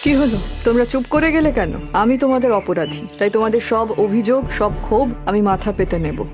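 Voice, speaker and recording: A woman's voice: on the high side, animated, a little fast, natural voice. A woman playing a devoted, dignified landlord's wife in a cartoon, in an earnest, melodramatic manner. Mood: resolute. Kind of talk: Bengali cartoon voice acting. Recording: music behind the voice.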